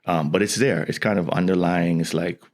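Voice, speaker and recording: A man's voice: low voice, steady tone, fairly quick. A man from the Bahamas, a scientist and educator, talking earnestly in an interview, often restarting his sentences. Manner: earnest, with frequent restarts and "you know". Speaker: guest, environmental scientist and educator. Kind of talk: conversational interview.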